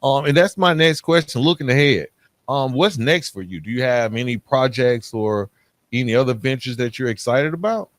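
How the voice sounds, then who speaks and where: A man's voice: medium pitch, animated, moderate pace, no echo. An American man hosting a casual interview, speaking informally with frequent affirming interjections. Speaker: podcast host, interviewer.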